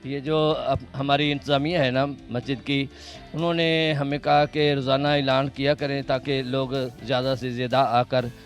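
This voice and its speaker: A man's voice: medium pitch, little pitch movement, normal speed, soft background music. A man with a Pakistani Punjabi accent, a mosque muezzin, speaking simply and formally on location. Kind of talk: interview answers and formal announcement.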